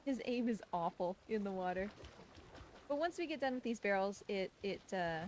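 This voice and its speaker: A woman's voice: medium pitch, expressive, normal speed. A woman giving live gameplay commentary as a speedrunner, with playful, coaxing asides to a game character. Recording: clear background game noise.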